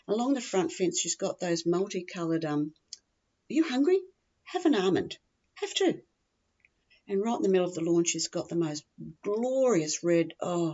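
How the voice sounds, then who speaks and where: Low-voiced, gossipy Australian woman acting a scatterbrained chatterbox. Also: warm tone, highs cut off. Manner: rambling, gossipy, blithely blunt. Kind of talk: humorous monologue, performed reading.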